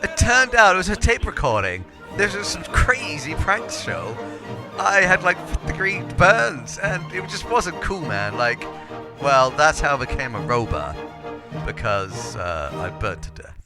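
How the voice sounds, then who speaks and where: A man's voice: fairly high pitch, sing-song, a little slow, over music. A man playing a chummy, long-winded robot doctor in a comic audio drama, hesitant and fond of tall tales. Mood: rambling, boastful.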